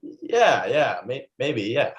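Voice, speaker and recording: Man playing a blunt, lively young buddy. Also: mid-range voice, slow pace. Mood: aggrieved. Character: blunt, aggrieved twenty-six-year-old buddy. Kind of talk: comedy script table read.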